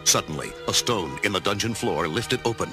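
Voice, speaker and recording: A man's voice: fairly low pitch, expressive, a little fast, music in the background. A man reading dramatic scripted narration for a children's storybook.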